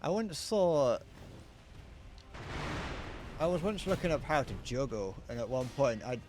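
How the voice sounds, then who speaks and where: A man's voice: mid-range voice, very animated, a little slow, music in the background. A young man streaming a game, chatting casually in a rambling, self-deprecating way that keeps trailing off mid-sentence. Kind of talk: casual chat while gaming.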